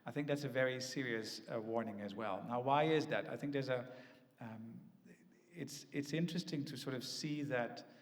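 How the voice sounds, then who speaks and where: A man's voice: mid-range voice, normal speed. A man on a conference panel, speaking thoughtfully with many "ums" and tag questions like "right?" and "no?". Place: large conference hall. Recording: echoey conference hall.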